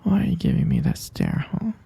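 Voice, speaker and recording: A man playing a tenderly possessive yandere kidnapper in an ASMR roleplay, coaxing softly in a breathy, husky, gravelly whisper. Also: rumbling low, wildly swooping pitch, no room sound, heavily acted. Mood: pleading. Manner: slow, coaxing, cooing endearments to the listener. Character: obsessive yandere male kidnapper, tenderly possessive, soft-spoken.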